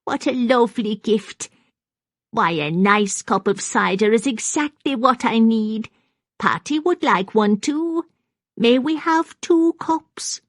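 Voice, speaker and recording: A woman's voice: fairly high pitch, expressive, slow, no echo, studio quality, pitched above the performer's own voice. A woman voicing a frightened, childlike, addled old woman from a storybook, with a rattling, quavering voice. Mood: childlike, eager. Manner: dramatic, snivelling, childlike.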